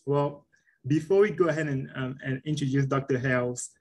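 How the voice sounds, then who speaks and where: Relaxed young man hosting a webinar. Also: medium pitch, moderate pace. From Cameroon, raised in Baltimore. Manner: relaxed, friendly, frequent fillers. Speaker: webinar host, medical resident. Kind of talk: casual moderated webinar.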